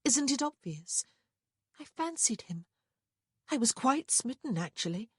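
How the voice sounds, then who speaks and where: Woman playing a frank young gentlewoman, high and husky. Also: unhurried, no room sound, clean studio sound, exaggerated voice. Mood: defiant confession. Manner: flat, earnest, confessional. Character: frank, stubborn, smitten young gentlewoman of period romance.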